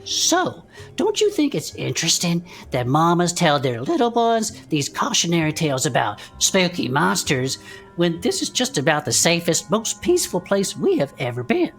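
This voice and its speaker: A woman playing an excitable former adventurer hosting an audio drama show, with a folksy Southern US accent and theatrical, chatty delivery. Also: on the low side, normal speed, faint music behind. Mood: intrigued.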